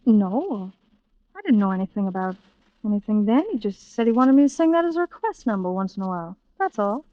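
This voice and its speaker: An actress playing a guarded, tough nightclub singer in an old radio thriller, with terse, unsentimental delivery. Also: female voice, medium pitch, big pitch swings, a little fast, dry recording, lightly acted. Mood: cool. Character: cool, guarded, hard-bitten nightclub singer, a woman. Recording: dull, lo-fi vintage radio recording.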